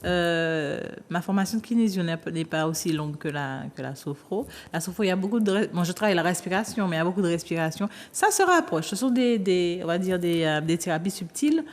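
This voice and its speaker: A woman therapist, a guest on a talk show, explaining her work calmly with some hesitant fillers. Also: on the low side, fast talker, few pauses. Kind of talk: interview answers.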